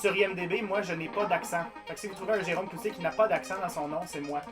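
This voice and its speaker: A man's voice: sits high, fast. A man with a Quebec French accent co-hosting a game livestream, chatting casually and joking with lots of swearing and restarts. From Canada. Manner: animated, profane, rambling with restarts. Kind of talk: casual joking chat while playing. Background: game music.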